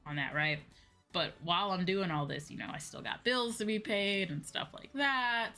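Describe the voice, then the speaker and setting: A woman streamer chatting casually and answering viewers in an animated, conversational way, often repeating phrases for emphasis. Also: on the low side. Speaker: VTuber streamer; high school teacher. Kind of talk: casual livestream chat, replying to viewers. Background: background music.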